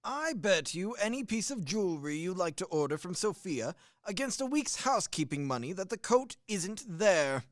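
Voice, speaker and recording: A man's voice: sits high, big pitch swings, moderate pace, no room sound, studio quality. A man acting a blustering, genial old army major in a radio play, with a hearty, theatrical manner. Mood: sporting, boastful.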